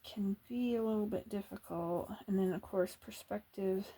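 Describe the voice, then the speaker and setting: A woman chatting softly as she draws for an ASMR video, thinking aloud with hesitant pauses and often slipping into whispers, close to the mic. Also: medium pitch. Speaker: artist drawing on camera. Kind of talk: soft-spoken ASMR drawing chat.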